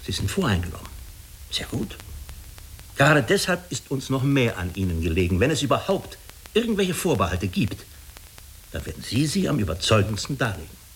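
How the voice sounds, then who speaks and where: An actor playing a diplomatic, persuasive official of an international agency in a German radio drama, speaking in a measured manner. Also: male voice, medium pitch, big pitch swings, slight background noise, close to an everyday voice. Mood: earnest, persuasive.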